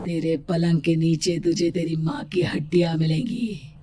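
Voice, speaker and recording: A woman voicing a cruel, gloating evil female spirit who makes slow, taunting threats in a horror cartoon story. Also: sits low, level tone, noisy background. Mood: gloating menace.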